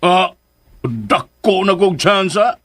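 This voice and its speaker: A man acting a boastful, lovestruck suitor in a radio drama, with a rough husky voice and exaggerated comic delivery. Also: sits high, lively intonation, slow pace, fairly bright tone, exaggerated voice. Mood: boastful, smitten. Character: boastful, lovestruck man courting a woman, teasing his pal.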